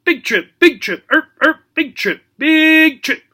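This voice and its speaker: A man voicing a cheerful, repetitive pet parrot in a children's storybook, shrieking short phrases in a high-pitched squawk. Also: animated, takes it slowly, bright, forceful tone, full character voice. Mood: agitated squawking.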